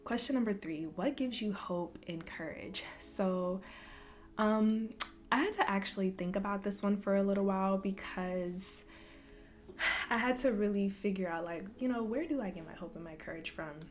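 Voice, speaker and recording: A young woman from Louisville, Kentucky, chatting casually in a Q&A vlog, soft-spoken and relaxed with frequent ums. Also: mid-range voice, moderate pace. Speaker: vlogger. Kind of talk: casual Q&A chat.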